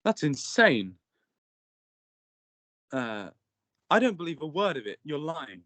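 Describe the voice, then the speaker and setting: A man's voice: a little high, big pitch swings, takes it slowly, fairly bright tone, no echo, full character voice. Male actor as bewildered, defiant new arrival, questioning everything, amateur read, very dry recording. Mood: incredulous. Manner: incredulous, questioning. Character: confused, defiant newcomer, a scrunched paper ball. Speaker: actor in a script table read. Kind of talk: amateur table read over a group call.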